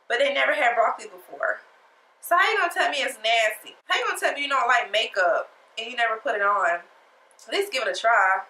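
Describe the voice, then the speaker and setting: A woman's voice: sits high, bright, forceful tone, roomy sound, rough recording. A woman talking through a makeup tutorial in a casual, sassy, chatty way, her nose stuffed up from a cold. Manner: chatty, sassy, talks straight to viewers. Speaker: beauty vlogger.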